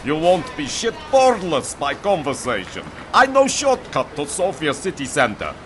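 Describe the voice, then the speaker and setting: A man's voice: on the high side, huge pitch swings, a little slow, background noise. A man voicing a cocky, friendly Bulgarian taxi driver with a Bulgarian accent and broken English in a comic radio play. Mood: boastful, eager.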